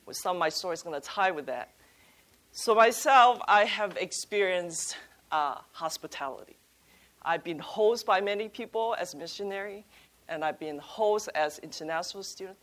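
Woman, born in China, ministry leader; lowish voice, hesitant but cheerful delivery. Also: a bit bright. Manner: halting, many fillers, upbeat. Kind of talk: workshop introduction.

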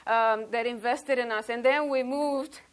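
A woman's voice: fairly high pitch, steady tone, measured pace, smooth. A woman in her thirties from Romania, leading a church workshop in an outgoing, chatty way with many ums. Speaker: workshop leader. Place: workshop room, indoors.

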